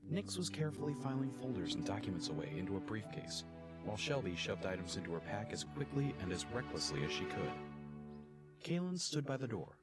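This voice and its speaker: A man's voice: on the low side, sing-song, a little fast. A man playing the storyteller of a fantasy adventure, reading scripted audio drama narration. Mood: descriptive. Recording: loud music behind.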